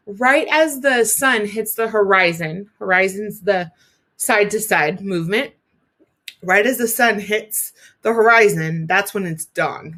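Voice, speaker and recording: A woman teacher reading a story aloud to children, expressively, pausing to explain it and talk directly to young listeners. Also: medium pitch, fairly bright tone.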